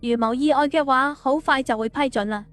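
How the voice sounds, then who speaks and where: A woman's voice: sits high, brisk, close to an everyday voice. A woman voicing a polite, naive young woman in audiobook dialogue. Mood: friendly, matter-of-fact. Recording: music behind the voice.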